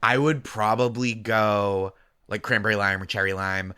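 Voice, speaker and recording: Bright-voiced guy with a faint massachusetts accent, chatting away on a podcast, low-ish pitch. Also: normal speed, clean tone. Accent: light Massachusetts. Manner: animated, chatty, laughs often. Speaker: podcast guest co-host. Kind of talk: casual chat.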